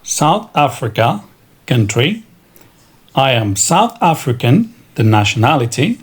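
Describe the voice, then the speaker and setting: A man reads out country, nationality and language words as an English lesson drill, dwelling on each word at a very slow pace. His pitch rises and falls widely as he stresses each item, and a clear layer of background noise runs under the recording. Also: very animated. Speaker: English teacher. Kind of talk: vocabulary drill reading.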